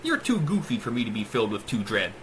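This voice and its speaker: A man's voice: mid-range voice, sing-song, fast, a bit bright, a little hiss or hum. A man voicing a defiant, irreverent male rat hero in a dramatic sci-fi horror audiobook. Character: defiant, wisecracking male rat hero, battered but stubborn.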